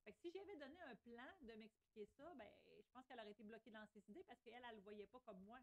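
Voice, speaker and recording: A woman's voice: fairly high pitch, fast talker. A woman with a Quebec French accent talking casually as a podcast co-host and schoolteacher. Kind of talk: casual conversational podcast talk.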